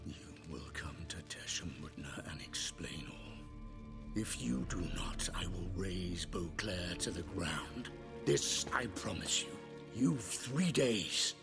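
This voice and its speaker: A grave, menacing vampire for a video game. The voice is low and gravelly, with slow, ominous, formal delivery. Loud music runs underneath. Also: male voice, full character voice. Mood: cold threat. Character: brooding, menacing male vampire, grave and wrathful. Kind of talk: cinematic video game dialogue.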